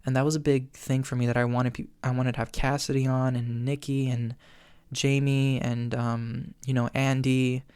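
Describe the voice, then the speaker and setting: A man in his twenties hosting his podcast, answering listener questions on his own in a chatty, earnest way, with lots of ums and quick laughs. He says his voice is often tired and cracks. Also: mid-range voice, flat delivery, darkish tone, clean tone, no echo. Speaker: podcast host. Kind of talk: solo Q&A chat.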